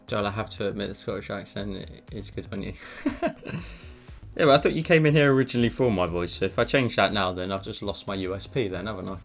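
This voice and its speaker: Low gravelly guy doing a chill art stream, chatting to chat, faint music behind. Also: fast. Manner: chatty, reads and answers viewer messages. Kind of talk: casual live-stream tutorial chat. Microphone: headphones on, desk mic. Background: faint background music.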